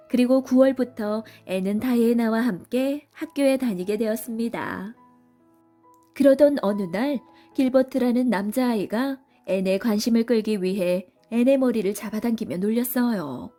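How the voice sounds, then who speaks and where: A woman's voice: on the high side, moderate pace, warm tone, soft background music. A woman reading scripted narration for a children's story as a storybook narrator.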